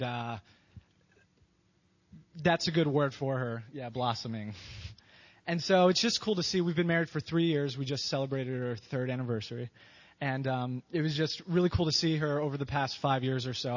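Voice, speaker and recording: A man from Pennsylvania answering interview questions about his wife in a relaxed, halting way, with many fillers. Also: medium pitch. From Pennsylvania, USA. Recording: dull lo-fi sound.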